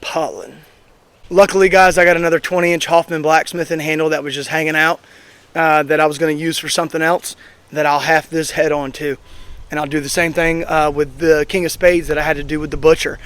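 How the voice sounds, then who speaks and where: A hoarse man in his thirties, host of an axe throwing channel, giving a rambling, opinionated review full of fillers. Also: fairly high pitch, little pitch movement, a little fast, fairly bright tone. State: hoarse, recovering from being sick. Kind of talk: casual outdoor review vlog. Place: outdoors at a throwing range.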